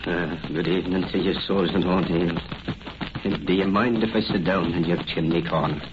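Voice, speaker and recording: A man's voice: low-pitched, fairly even intonation, normal speed, noisy background, lightly acted. An actor playing a lonely, remorseful old Irish father, solemn and mournful in an old-time radio horror drama. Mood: grieving. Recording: dull old radio recording with music.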